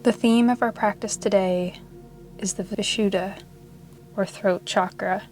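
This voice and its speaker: A woman with a mid-range voice leads a guided meditation. Her delivery is soothing and measured, staying very level in pitch with generous pauses between phrases. Music plays clearly behind her. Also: steady tone, a little mellow. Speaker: yoga nidra meditation guide.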